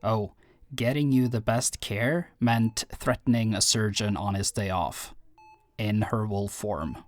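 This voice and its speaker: A man's voice: fairly low pitch, takes it slowly. A man playing a teasing, caring young teammate in an audio roleplay, with a wry manner. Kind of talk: audio roleplay drama acting.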